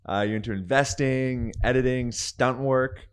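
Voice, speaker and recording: A man's voice: medium pitch, fairly even intonation, measured pace, clean tone. A man hosting a podcast in a casual, conversational way. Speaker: podcast host.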